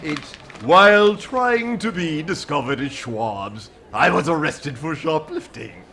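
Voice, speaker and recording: A smarmy shop clerk acted by a man in a vintage radio comedy. He speaks slowly with mock-helpful sarcasm and swooping pitch, over a noisy recording. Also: expressive. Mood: smugly sarcastic. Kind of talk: 1940s radio comedy acting.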